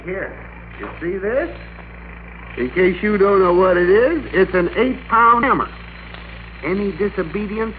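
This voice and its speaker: An actor playing a meek middle-aged American office worker turned blustering, bossy master, in emphatic classic radio drama style. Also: male voice, sits high. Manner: emphatic, theatrical, commanding. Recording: muffled classic radio recording with hum.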